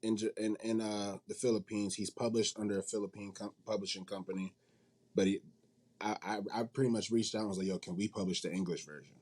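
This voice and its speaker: A man's voice: fairly low pitch, steady tone, quick pace, a little mellow. A man speaking as an interview guest in an informal, slangy, conversational way. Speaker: manga company CEO, interview guest. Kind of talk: casual interview chat.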